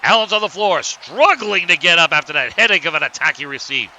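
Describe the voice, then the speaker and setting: A man's voice: a little high, lively intonation, a little fast, sharp tone, a little hiss or hum, exaggerated voice, low-quality recording. A man playing a play-by-play commentator narrating a cartoon wrestling match.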